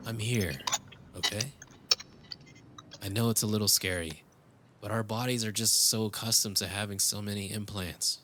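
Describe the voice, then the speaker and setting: A man's voice: fairly low pitch, background noise. A man playing a tender, wry young lover in an intimate cyberpunk romance audio drama, teasing and a little hesitant. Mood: soothing, tender. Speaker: audio roleplay voice actor.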